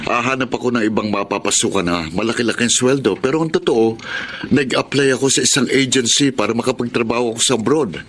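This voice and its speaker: An actor playing an earnest, devoted, hardworking boyfriend in a Tagalog radio drama, speaking in a heartfelt way. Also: male voice, mid-range voice, fast talker, fairly bright tone, slight background noise, lightly acted. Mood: excited, tender. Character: Robert, devoted hardworking boyfriend, adult man.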